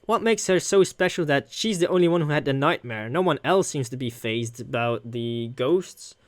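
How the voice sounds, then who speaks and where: A woman's voice: extremely low pitch, lively intonation, normal speed, no room sound. A woman doing casual let's play commentary on a visual novel, muttering reactions to herself and swearing. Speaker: gaming video host. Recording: music behind the voice. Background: game music.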